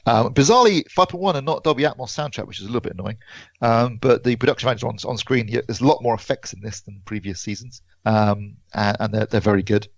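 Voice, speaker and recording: A man's voice: medium pitch, fast talker, vocal fry, dry recording, muffled recording. A man giving a casual review as a podcast panellist.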